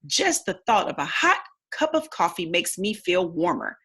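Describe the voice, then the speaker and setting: A woman voicing a kindly, bookish old sailor from a storybook, in a courteous, measured way. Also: on the low side, big pitch swings, bright, forceful tone, husky, exaggerated voice. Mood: gentle, hospitable.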